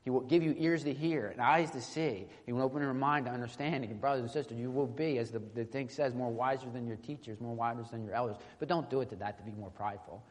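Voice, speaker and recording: Man, preacher, informal sermon, conversational and halting delivery, medium pitch, long hall reverb. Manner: conversational, halting, with repeated tag questions. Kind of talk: informal sermon with teaching asides.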